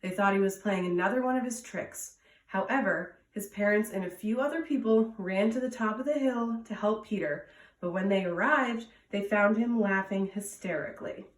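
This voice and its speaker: A woman's voice: mid-range voice, moderate pace. A woman reading a children's story aloud as a storytime reader, recorded indoors at home. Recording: roomy echo, distant from mic.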